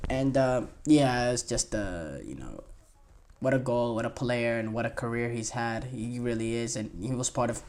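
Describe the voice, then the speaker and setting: A man's voice: medium pitch, darkish tone, slight background noise. A young man of twenty hosts a laid-back solo sports podcast. He talks loosely and enthusiastically, stringing thoughts together with plenty of "um"s, "you know"s and false starts. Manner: rambling, filler-heavy, enthusiastic praise. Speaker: podcast host.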